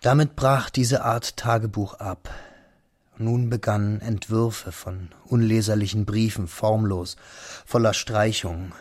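An actor playing the narrator of a literary radio drama, telling the story of a diary in a sombre, measured way. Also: male voice, fairly low pitch, fairly even intonation, a little mellow, dry recording, studio quality. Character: sombre male narrator piecing together a friend's diary.

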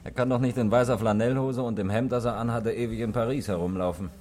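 A man's voice: medium pitch, fairly even intonation, quick pace, darkish tone, natural voice. A man acting an urbane, evasive bank clerk and café regular in a 1960s radio play, nonchalant and wry.

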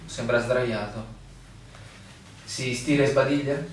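An actor plays a sceptical newcomer who probes with hesitant, theatrical questions. His mid-pitched voice belongs to a radio play and sits away from the mic in a strongly reverberant room with faint noise. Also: fairly even intonation, takes it slowly, far from the mic, close to an everyday voice. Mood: puzzled. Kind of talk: stage-like radio drama acting.

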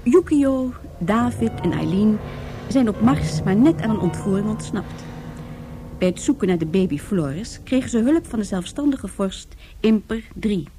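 A woman's voice: a little high, dark tone. A woman reading scripted narration for a science-fiction radio drama. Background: faint music.

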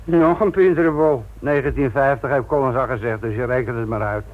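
An actor playing a blunt, sardonic, middle-aged police inspector lecturing trainees in a Dutch radio play. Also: male voice, medium pitch, a little fast, close to an everyday voice. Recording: tinny, noisy old radio play recording.

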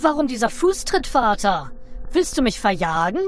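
A woman voicing a genial, drily ironic Martian son from Utopia in a satirical radio play, speaking deliberately and theatrically. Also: fairly high pitch, big pitch swings, faint music behind. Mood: hurt. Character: genial, wry, bored Martian alien, prodigal son from Utopia.

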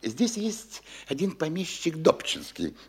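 A fawning, sly telltale of an official for a classic radio play, always ready to gossip. His voice sings up and down wildly, and he spins out his words slowly and sweetly. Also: fairly high pitch, huge pitch swings, a little mellow, husky, full character voice. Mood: tattling.

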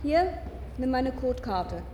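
An actress playing an impatient teenage daughter, brisk and exasperated, in a German science-fiction radio drama. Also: female voice, high-pitched, sing-song, dark tone. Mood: pert, impatient. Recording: reverberant, slightly noisy radio play.

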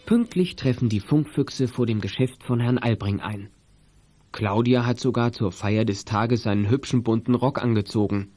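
A man's voice: mid-range voice, moderate pace, dark tone, soft background music, close to an everyday voice. A man narrating a children's detective audio play in a scripted storytelling style.

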